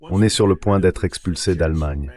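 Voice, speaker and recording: A man's voice: low-pitched, normal speed, mellow, natural voice. A man's synthetic dubbing voice, speaking as a guest giving translated interview commentary.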